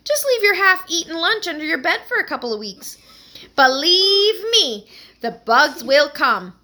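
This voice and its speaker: A woman voicing a sassy young schoolgirl with a teasing, sharp-tongued manner. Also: very high voice, big pitch swings, fast, voice pushed up, full character voice.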